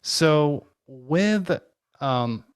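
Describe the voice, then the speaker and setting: A man co-hosting a conversational interview, speaking in a halting, tentative way. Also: fairly high pitch, lively intonation, smooth. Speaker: podcast co-host interviewing a guest.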